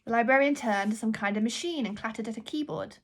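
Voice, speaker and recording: A woman with a slightly high voice reads a children's story aloud. Her delivery is expressive, like an author presenting her own book to young listeners. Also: fast talker.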